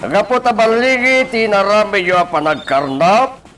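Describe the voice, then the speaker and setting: A man's voice: sits high, normal speed, fairly bright tone, a little hiss or hum. An actor playing a boastful crime boss in a radio drama, drawing out his gloating words.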